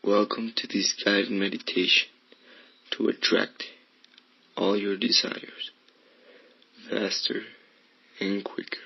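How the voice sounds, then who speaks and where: A man leading a guided meditation in a hushed, breathy voice that often slips toward a whisper. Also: fairly low pitch, little pitch movement, takes it slowly, pauses often, no room sound, lo-fi sound. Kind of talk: guided meditation, soothing instruction.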